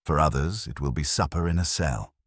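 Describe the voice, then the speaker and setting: Man voicing an imperious, very deep-voiced detective genius. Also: fairly quick, no room sound, clean studio sound. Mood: coolly confident. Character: imperious, eloquent male detective genius, coolly confident. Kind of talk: audiobook character dialogue.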